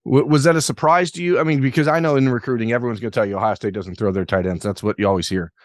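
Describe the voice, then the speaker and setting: A man's voice: mid-range voice, racing, dry recording, clean studio sound. A man hosting an interview podcast, asking long, rambling questions with self-deprecating asides. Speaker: podcast host.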